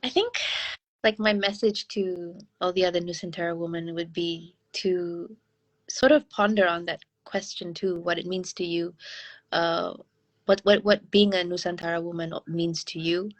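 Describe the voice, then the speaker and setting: A woman from Brunei speaks as an interview guest in a slightly low voice. She is informal and reflective, often halting and rephrasing as she thinks out loud. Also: fairly even intonation. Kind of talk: casual reflective conversation.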